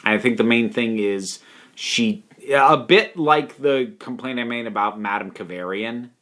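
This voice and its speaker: A man speaks at an ordinary middle pitch in a casual review style. He works through his opinions thoughtfully, halting and restarting as he goes, and leans on key words for emphasis. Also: fairly bright tone. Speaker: online reviewer. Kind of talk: casual spoken review commentary.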